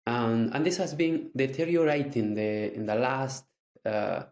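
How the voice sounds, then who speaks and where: A man from Peru with a Peruvian Spanish accent and Quechua as his first language, speaking haltingly as a podcast guest. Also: medium pitch, a little mellow, reverberant. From southern highland Andes of Peru. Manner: halting, many fillers. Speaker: guest historian. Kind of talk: podcast interview.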